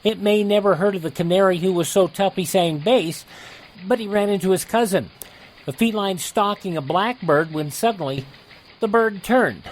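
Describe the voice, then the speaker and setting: A man's voice: high voice, moderate pace, low-quality recording. Guy hosting a radio show, reading out scripted headlines in announcer style. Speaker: radio host and news reader.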